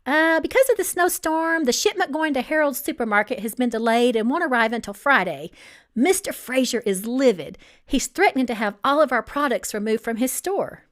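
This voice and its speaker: A flustered young office assistant , acted out by a woman reading a novel, bookish and timid. He stammers anxiously in a high voice with very animated pitch. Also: brisk.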